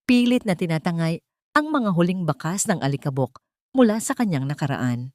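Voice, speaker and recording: Low-voiced woman narrating a romance story. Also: sing-song, moderate pace, darkish tone, no echo, clean studio sound. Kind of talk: scripted dramatic story narration.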